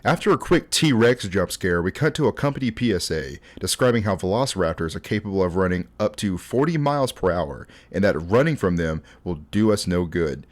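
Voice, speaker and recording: Low-voiced young man, casual video essayist. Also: expressive, a little fast, few pauses. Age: 20s. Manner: conversational, informal. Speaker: host.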